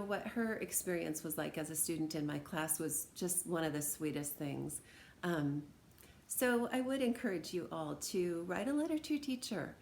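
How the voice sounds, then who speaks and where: A woman's voice: deep voice, vocal fry, darkish tone, slight background noise. An American woman teacher talking informally and encouragingly to students as she reads aloud and demonstrates things. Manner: encouraging, conversational.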